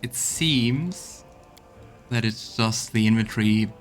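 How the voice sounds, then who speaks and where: A man's voice: lowish voice, takes it slowly, faint music behind. A man streaming a game with casual live commentary, muttering wry asides to himself as he plays.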